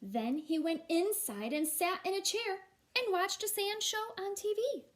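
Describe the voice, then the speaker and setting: A woman's voice: extremely high pitch, unhurried. A woman teacher reading a children's story aloud expressively, with casual, encouraging asides.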